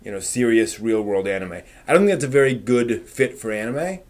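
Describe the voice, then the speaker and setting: A man hosting a casual live stream, replying to viewer comments with thinking pauses and firm, emphatic opinions. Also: medium pitch, lively intonation, darkish tone.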